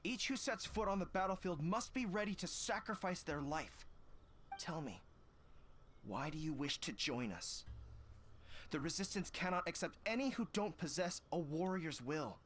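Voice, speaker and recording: An actor playing a stern, dutiful army general in a video game, speaking in a measured, formal, authoritative way. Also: male voice, on the high side, animated, fairly bright tone. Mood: grave.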